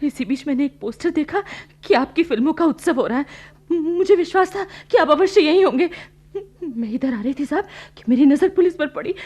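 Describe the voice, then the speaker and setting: A woman's voice: sits high, expressive, brisk, mellow. A woman acting a radio play role, a desperate fugitive who begs in panic for rescue, repeating her pleas. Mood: frightened, pleading. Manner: pleading, repeating words in panic.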